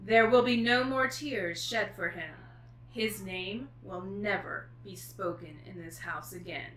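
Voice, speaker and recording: A woman author speaking as a guest, with an expressive, informal delivery for reading aloud and chatting. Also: mid-range voice, measured pace. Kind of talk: expressive book reading and casual chat. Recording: echoey room with faint noise.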